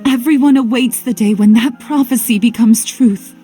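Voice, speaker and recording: A woman plays an earnest young woman in a game drama. Her voice is fairly high and mellow, gentle and anxiously pleading, unhurried, over faint music. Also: lightly acted. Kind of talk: dramatic video game voice acting.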